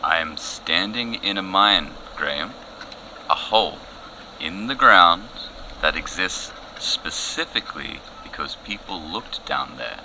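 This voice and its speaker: Deadpan on-location reporter, spoof news. Low, hard-edged voice, exasperated, faint background noise. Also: male voice, slow pace, vocal fry, heavily acted, poor audio. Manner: deadpan, weary emphasis. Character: exasperated, dry male field news reporter. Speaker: field reporter. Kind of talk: mock live news cross, scripted audio comedy.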